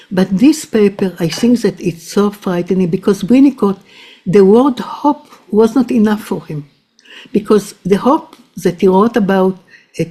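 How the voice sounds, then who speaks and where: A woman psychoanalyst giving a halting academic talk, full of restarts and fillers. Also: mid-range voice, mellow, breathy edge. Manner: hesitant, many restarts and fillers. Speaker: psychoanalyst presenting a paper.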